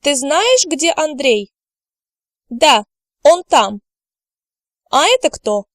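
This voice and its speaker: A woman gives a slide lecture in a measured, unhurried way, modelling each word carefully so learners can repeat after her. Her voice sits fairly high. The recording is dry and close, with no room sound. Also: sing-song, no room sound. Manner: slow, careful delivery, models words for repetition. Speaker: language teacher.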